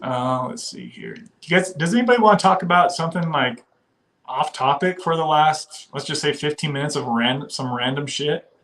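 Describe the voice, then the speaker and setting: A man's voice: on the high side, moderate pace, fairly bright tone. A man from the western US hosting a casual livestream, chatting loosely, laughing often and reading viewer comments aloud. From western United States. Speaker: livestream host.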